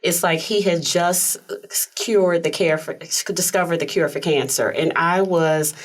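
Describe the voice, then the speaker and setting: A woman hosting a talk show in a studio, soft-spoken and conversational. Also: lowish voice, unhurried. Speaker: host. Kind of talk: casual interview chat.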